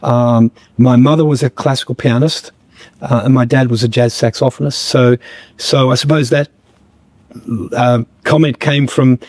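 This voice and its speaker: Man, slightly croaky, mellow tone, dry close sound, reflective guest who stops and restarts a lot. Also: medium pitch, steady tone, no echo. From Australia. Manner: halting, many fillers and restarts. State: a bit croaky. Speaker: guest musician. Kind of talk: reflective podcast conversation.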